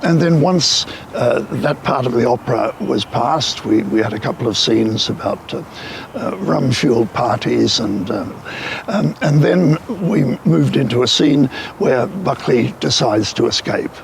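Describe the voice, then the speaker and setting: An older man, a composer and former opera baritone, speaking with a scratchy voice in an interview, often hesitant with fillers. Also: lively intonation, unhurried, vocal fry. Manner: hesitant, with many fillers and repeats.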